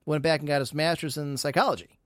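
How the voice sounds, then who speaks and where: Male podcast host, slightly high voice, dry close sound, rambling and teasing. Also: steady tone, fast talker, fairly bright tone, no echo. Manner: rambling, teasing, self-mocking boasts. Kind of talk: casual live podcast chat.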